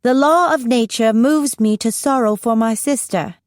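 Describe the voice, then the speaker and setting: A woman plays a teenage girl taking on the role of a solemn monarch in an audiobook. The British accent is put on with grand, formal phrasing. The voice is lifted in pitch and declaims in a very dry recording. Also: normal speed, no echo, natural voice. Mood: solemn, regal. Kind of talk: formal theatrical speech in an audiobook.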